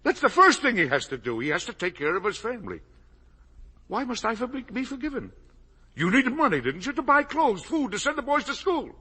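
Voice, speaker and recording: A husky, forceful old man, swinging widely between low muttering and loud pleas. He is a guilt-ridden father defending himself in vintage radio melodrama. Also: very animated, fairly quick, heavily acted. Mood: defiant, indignant. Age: older. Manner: self-justifying, emotionally volatile, pleading. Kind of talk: 1950s radio drama acting. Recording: vintage 1950 radio broadcast.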